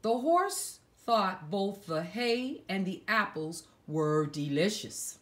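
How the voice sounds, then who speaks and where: Slow, very animated woman reading to children. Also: medium pitch. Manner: dramatic emphasis, with hushed asides to young listeners. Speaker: storyteller reading a picture book aloud to children. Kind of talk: expressive read-aloud storytelling for young children.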